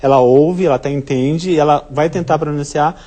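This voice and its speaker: Male professor guest who talks very fast and explains eagerly. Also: a little high, mellow. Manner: explanatory, rapid, many fillers. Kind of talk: studio interview.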